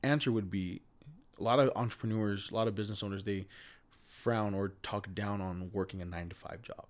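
Man, stutters, low voice, earnest, emphatic, motivational chat. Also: steady tone, brisk. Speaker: podcast guest. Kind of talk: casual conversation.

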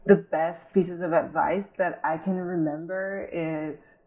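A young adult woman from Guinea, West Africa, chatting casually as an interview guest in an upbeat, animated way with lots of fillers. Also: fairly low pitch, takes it slowly, poor audio. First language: Fulani. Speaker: model and guest.

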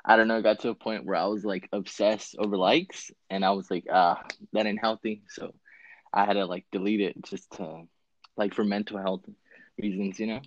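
A man's voice: fairly low pitch, level tone, moderate pace, no room sound. A twenty-one-year-old man chatting casually on a call, easygoing and a bit hesitant, with lots of "like" and "um". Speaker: guest, shoe buyer. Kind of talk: casual chat. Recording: dry, dull lo-fi call audio.

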